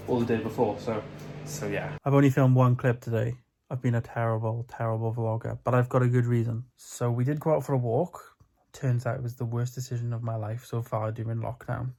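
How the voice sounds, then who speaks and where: Bunged-up young British guy rambling. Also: noisy background. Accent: British English. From United Kingdom. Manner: rambling, filler-heavy, low-key. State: stuffy-nosed from hay fever. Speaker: vlogger. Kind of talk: casual chatty vlog. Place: at home, indoors.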